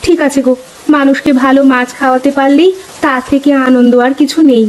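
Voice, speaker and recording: A woman voicing a caring, mildly worried village wife in an animated folk tale, speaking expressively. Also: high-pitched, fairly quick, natural voice, rough recording. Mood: agreeable. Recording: clear background noise.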